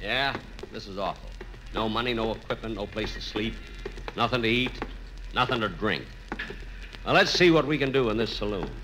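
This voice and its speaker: A man as a deadpan, tough gold prospector. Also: on the low side, moderate pace, pauses often, pitched below the performer's own voice, lightly acted. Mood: downcast. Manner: deadpan, dry. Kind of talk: 1950s radio comedy sketch acting. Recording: noisy old radio broadcast recording.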